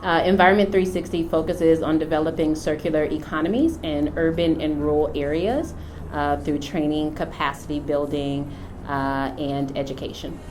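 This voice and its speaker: A middle-aged woman from the United States, a company founder, speaking about her work and faith in an unhurried, reflective way with frequent pauses. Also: low-pitched, noisy background. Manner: unhurried, thoughtful, many filler pauses. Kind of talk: reflective personal testimony.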